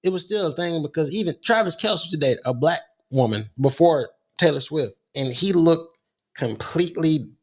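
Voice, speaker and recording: A man's voice: fairly high pitch, expressive, normal speed, husky. An American man speaking casually as a panelist on a podcast. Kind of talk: casual chat.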